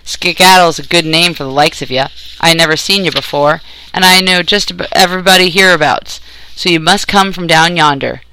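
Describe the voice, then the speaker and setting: A harsh old mountain granny, played by a male narrator, blunt and commanding in a storybook tale. The voice sits high and comes through forceful, with rustic backwoods turns of speech. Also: fairly quick, clear, resonant tone, low-quality recording. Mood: suspicious, blunt. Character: gruff, tall old mountain granny with a pistol.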